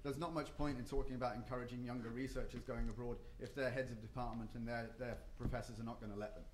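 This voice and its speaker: A man's voice: medium pitch, fairly even intonation, quick pace, slight background noise. Man speaking in an echoey conference room. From not Japan or the US. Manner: hesitant, many ums and restarts. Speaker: conference panelist. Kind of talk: conference panel talk.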